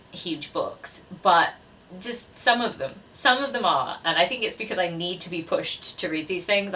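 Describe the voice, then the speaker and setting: A woman's voice: lowish voice, a bit bright, distant mic, a little hiss or hum. A woman in her twenties chatting casually to camera as a book vlogger, with a self-deprecating, chatty manner. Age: 20s.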